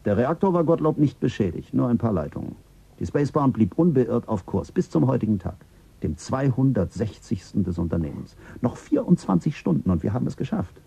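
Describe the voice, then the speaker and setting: A man as a dark-voiced mission director. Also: mid-range voice, brisk, creaky, breathy edge, full character voice. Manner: calm, dry, ironic. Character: weary male space mission director, family man. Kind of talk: radio play acting.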